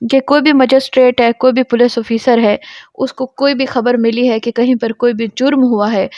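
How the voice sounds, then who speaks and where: Slow, high-voiced Pakistani woman lecturing. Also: a little mellow, no room sound. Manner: switches between Urdu explanation and slowly read English text. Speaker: law lecturer. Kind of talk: explanatory lecture, reading and explaining legal text. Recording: dull lo-fi audio.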